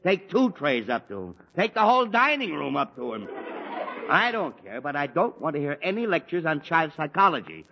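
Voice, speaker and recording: A man's voice: a little high, big pitch swings, normal speed, gravelly. An actor playing an exasperated middle-aged father who sputters and grumbles, in 1940s radio acting. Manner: blustery, sputtering, often cut off mid-sentence. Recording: dull lo-fi 1940s radio recording.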